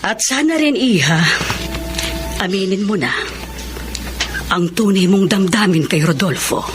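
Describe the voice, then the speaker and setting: Caring middle-aged aunt played by a woman, Filipino radio drama, expressive melodic delivery, clear music bed. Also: medium pitch, measured pace, lightly acted. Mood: encouraging. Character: caring older aunt, wise and gently prodding. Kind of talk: Tagalog radio drama acting.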